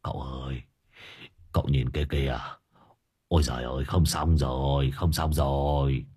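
A coarse, foul-mouthed sidekick in a comic folk tale, as a man acts him out, growling low and rough. His husky voice sits well below the narrator's own and often drops to a mutter. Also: animated, moderate pace, heavily acted. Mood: alarmed. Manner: gruff, coarse, grumbling. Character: gruff crude male sidekick, coarse and cursing.

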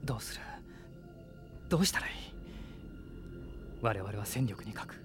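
An actor playing a sincere, resolute young noble prince who leads a rebel army in a Japanese radio drama. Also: male voice, on the low side, animated, moderate pace, over music, natural voice. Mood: troubled, pensive.

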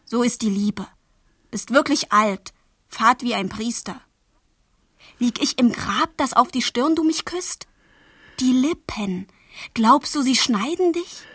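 An actress playing a passionate, wilful thirteen-year-old girl in love, in a literary radio play, with a breathy, husky, intense delivery. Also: fairly high pitch, animated, takes it slowly, long pauses, a bit bright, no echo, studio quality, heavily acted. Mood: defiant, demanding. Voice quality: breathy, husky, often near a whisper. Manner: intense, demanding, dramatic delivery.

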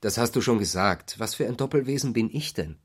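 A husky, troubled, sceptical husband. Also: male voice, medium pitch, expressive, fairly quick. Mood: reproachful. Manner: questioning, reproachful, uneasy. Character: Walter, troubled, sceptical husband of an eccentric woman. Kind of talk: literary radio drama acting.

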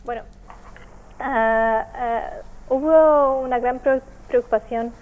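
A woman from Portugal with a Portuguese accent, a native Portuguese speaker, giving careful, halting interview answers as an NGO representative. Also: fairly high pitch, steady tone, phone-quality sound. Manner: halting, careful, searching for words. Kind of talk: explanatory radio interview answers.

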